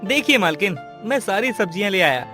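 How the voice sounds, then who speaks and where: A woman voicing grown men in a cartoon story, a loyal servant and his master, in a lowered, eager and obliging voice. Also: big pitch swings, a little fast, music in the background, close to an everyday voice.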